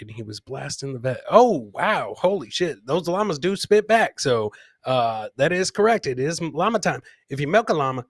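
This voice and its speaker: A man hosting a comedy podcast, bantering casually in a rowdy, rambling way with shouted bursts. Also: mid-range voice, no echo, clean studio sound. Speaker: host of a live comedy podcast. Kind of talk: boisterous casual live banter with listeners.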